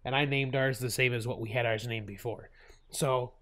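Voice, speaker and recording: A man's voice: medium pitch, steady tone, normal speed, a bit bright, a little hiss or hum. A man with a stuffy cold hosting his gaming channel, chatting casually over gameplay, laughing often and full of fillers and restarts.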